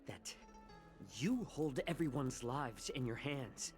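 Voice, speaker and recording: A man's voice: mid-range voice, lively intonation, slow pace, soft background music. A man voicing a composed but defiant captive in a video game, earnest, protective and challenging. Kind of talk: dramatic video game voice acting.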